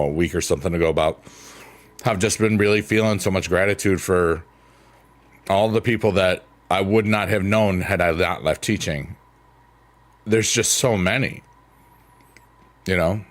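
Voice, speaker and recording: Deep-voiced man rambling on a late stream. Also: moderate pace, pauses often. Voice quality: booming voice. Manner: rambling, reflective, thankful, answers chat. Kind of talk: late-night casual stream chat. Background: soft piano music.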